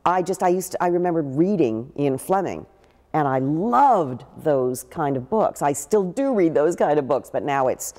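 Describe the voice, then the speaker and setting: An older actress telling stories in a seated interview, with a deep, big voice and lively gestures. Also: female voice, moderate pace, long reverb tail. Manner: expressive, gestures a lot while talking. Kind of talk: animated interview storytelling. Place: living room with a pool table, indoors.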